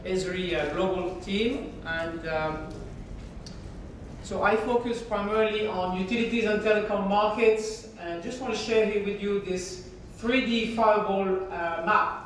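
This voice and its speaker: French woman giving a keynote, chatty and halting, lots of uhs, sounds far off in a big echoey hall. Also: mid-range voice, a little hiss or hum. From France. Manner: conversational, hesitant, many fillers. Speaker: keynote speaker. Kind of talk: conference keynote presentation. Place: large conference hall.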